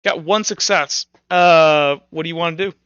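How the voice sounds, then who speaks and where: Guy game mastering a tabletop rpg, bright clear voice, kinda halting and casual, lo-fi dry mic. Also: on the high side, dry recording. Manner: halting, informal, thinking aloud. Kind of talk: casual improvised narration of a tabletop roleplaying game.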